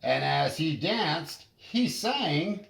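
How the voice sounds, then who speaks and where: A man narrating a children's story in an expressive, deliberate storytelling manner. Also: a little high, vocal fry, echoey room. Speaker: storybook reader.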